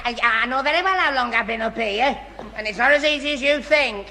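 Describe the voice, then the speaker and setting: A man's voice: very high voice, fast talker, pushed and bright, heavily acted. An actor playing a gormless, flustered young man who stammers and gets muddled, in a 1960s radio sitcom. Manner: stammering, tongue-tied. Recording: noisy old radio recording.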